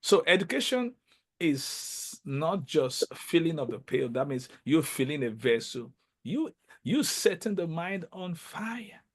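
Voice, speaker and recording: A man hosting an interview podcast, speaking with enthusiasm and emphasis, often halting and repeating words. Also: fairly high pitch, very animated. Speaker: podcast host.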